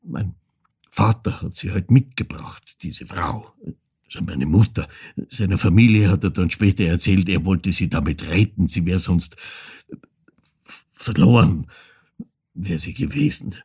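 Husky older man, remembering. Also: low voice, a little mellow, dry recording, clean studio sound, heavily acted. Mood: reflective, haunted. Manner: halting, reflective, repeats words, drops to near whisper. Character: older man haltingly recalling his lost, troubled childhood. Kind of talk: intimate first-person monologue in a radio play.

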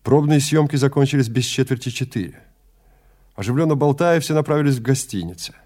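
A man's voice: mid-range voice, brisk, a little mellow. A man narrating fiction in a dramatic literary reading, as the storyteller of an audio drama.

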